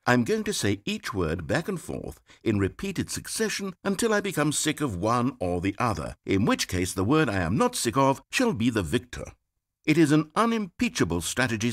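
An actor playing a pompous, self-absorbed game narrator who rambles in a grand, wordy way. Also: male voice, medium pitch, sing-song, moderate pace, fairly bright tone. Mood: musing. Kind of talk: scripted video game narration. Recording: dry studio voice-over.

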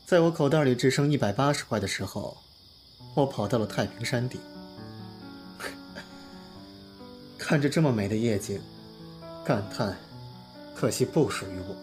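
A man's voice: mid-range voice, animated, normal speed, pauses often, over music, natural voice. A man playing an earnest, lovestruck young pop star in an audio drama, speaking in an emotive, confiding way. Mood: wistful. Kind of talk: Chinese audio drama acting, heartfelt monologue and dialogue.

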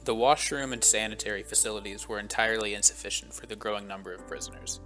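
A man with a fairly low voice reads a scripted voice-over for a walking tour, steady and solemn in his delivery. Soft guitar music plays faintly underneath. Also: fast. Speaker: travel vlogger narrating a tour.

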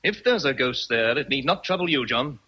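An actor playing a sardonic, commanding master of a great house, theatrical in a radio drama. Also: male voice, medium pitch, animated, brisk, bright, forceful tone, husky, lo-fi sound. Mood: dismissive.

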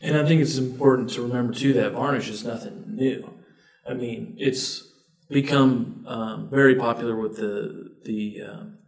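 A man's voice: medium pitch, fairly even intonation, normal speed. A middle-aged man talking casually about technical matters in a conference-room discussion. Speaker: filtration subject matter expert. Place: small conference room, indoors. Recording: roomy echo, distant from microphone.

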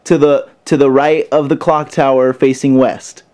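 A young man talks in a small bedroom, picked up by a camera mic at arm's length. He plays confused on a prank call, halting and repeating words, with a medium-pitched voice. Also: level tone, darkish tone. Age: young adult. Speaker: prank caller.

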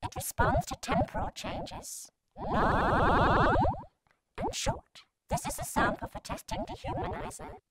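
A woman reading a scripted test sample in short deliberate statements, processed through a robotic vocoder or ring modulator effect. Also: high-pitched, huge pitch swings, heavy background noise.

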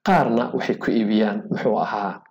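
A man teaching a hotel management program over a screen recording, speaking haltingly with many filler sounds and English technical terms mixed in. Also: lowish voice, steady tone, a little mellow, dull audio. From Somalia. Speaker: software instructor. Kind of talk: screen-recorded software tutorial.

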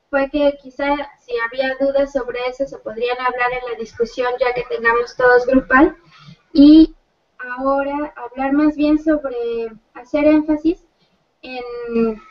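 A young woman giving a seminar presentation in a living room, thoughtful and hesitant with many fillers. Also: high voice. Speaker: student presenter. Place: living room with others on a sofa. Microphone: far laptop webcam. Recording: distant, muffled video call audio.

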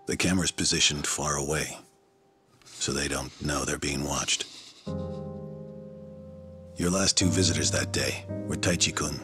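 An actor playing a grave, composed man in a video game drama, speaking in a measured, deliberate way. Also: very deep voice, fairly even intonation, sharp tone, soft background music, heavily acted. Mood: grave, controlled. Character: grave, composed man revealing hidden camera footage.

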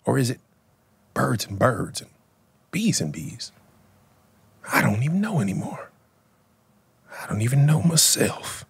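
Comedy sketch: grumpy grizzled sergeant, slow, barking, wildly swinging pitch. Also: male voice, very animated, dry recording, heavily acted. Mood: gruff, rambling. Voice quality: gritty, gravelly. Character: gruff grizzled old war sergeant in a boy's body.

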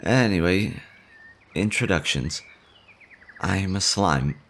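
A man's voice: low-pitched, lively intonation, slow, fairly bright tone, exaggerated voice. A man voicing a nervous, shy male slime monster in an audio roleplay, stammering and fretful as he tends to someone. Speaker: voice actor.

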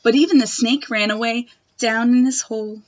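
A young woman reading a picture book aloud to children in a gentle, expressive manner. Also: on the high side, moderate pace, no room sound, lo-fi sound. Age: young adult. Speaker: storytime reader. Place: wood-panelled room at home.

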